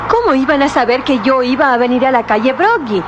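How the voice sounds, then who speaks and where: An actress playing a frightened young heiress in a radio drama, flustered and defensive. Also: female voice, sits high, big pitch swings, brisk, lo-fi sound. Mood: worried. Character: frightened young rich heiress, nervous and indignant. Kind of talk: 1960s radio drama acting. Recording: noisy old radio drama recording.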